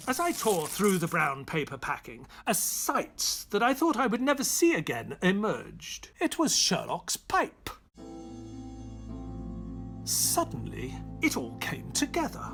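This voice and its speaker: An actor playing a loyal, grieving gentleman who narrates a detective audio drama in a measured, reflective manner. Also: high-pitched, exaggerated voice. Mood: dawning realisation.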